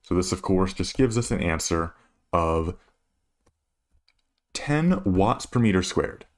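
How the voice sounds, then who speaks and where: A man with a low-pitched voice gives an instructional explanation. He works through a problem as a tutor would, laying out each step methodically. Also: lively intonation, slow. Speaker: physics tutor.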